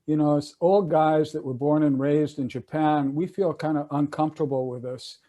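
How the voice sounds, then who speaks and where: A man's voice: a little high, steady tone, moderate pace, mellow. An older man on a video call, casually recounting legal history with many fillers and restarts. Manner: conversational, loose with fillers and restarts. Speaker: attorney and panelist.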